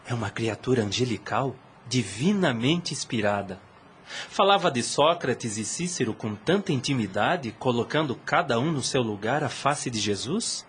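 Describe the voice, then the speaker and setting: A man's voice: medium pitch, close to an everyday voice. A man playing an earnest, lovestruck priest of about thirty in an audio drama, speaking formally and with fervor. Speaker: voice actor.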